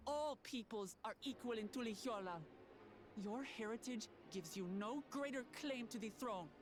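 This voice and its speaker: A woman's voice: fairly high pitch, moderate pace, lightly acted. A woman voicing a solemn, stately leader in a game. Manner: solemn, declamatory. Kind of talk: game cutscene voice acting. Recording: game audio with noise behind.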